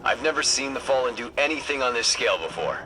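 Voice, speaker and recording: Brisk, no-nonsense military scout played by a man. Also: medium pitch, expressive, background noise, natural voice. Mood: brisk, businesslike. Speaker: squad commander giving orders over comms. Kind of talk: video game voice acting. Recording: loud music behind the voice.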